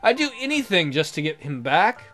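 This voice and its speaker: A man's voice: on the high side, sing-song, brisk, sharp tone. A man reading a visual novel aloud as a let's player, in a theatrical, jokey way with asides. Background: music.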